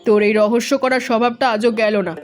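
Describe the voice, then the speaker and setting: A woman voicing a fond, practical village mother in a cartoon who speaks gently and scolds lightly. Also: on the high side, little pitch movement, fast, faint music behind, lightly acted. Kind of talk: cartoon voice acting.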